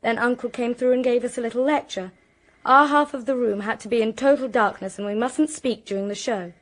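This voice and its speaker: An actress playing an anxious, articulate young British woman in a radio drama, with a precise, expressive delivery. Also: fairly high pitch, brisk, lightly acted. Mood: tense recounting. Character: anxious, articulate young niece recounting a crime.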